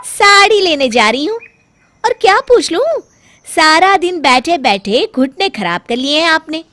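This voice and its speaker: A haughty young daughter-in-law voiced by a woman narrator in a comic storybook tale. She is curt, irritable and mocking, pitched well up high with a lively, swinging delivery. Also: a little slow, full character voice. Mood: irritated.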